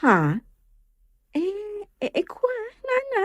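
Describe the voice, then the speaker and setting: A woman's voice: very high-pitched, huge pitch swings, extremely slow, no room sound, full character voice. A woman playing a polite, nervous young friend in a comedy radio drama.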